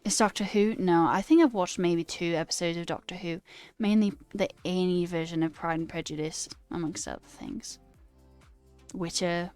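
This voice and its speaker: A woman's voice: lowish voice, fairly even intonation, normal speed, darkish tone, clear, resonant tone, dry recording. A young woman with a put-on British accent, chatting casually on a livestream and reading comments aloud in a self-deprecating, theatrical way, music behind her. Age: young adult. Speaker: live coding streamer.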